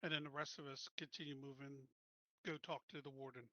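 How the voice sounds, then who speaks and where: A man's voice: mid-range voice, level tone, brisk, bright, forceful tone, dry recording. A man playing a tabletop game, laying out a plan decisively to the group. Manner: decisive, lays out plans. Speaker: player. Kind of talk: casual tabletop play. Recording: dull lo-fi audio.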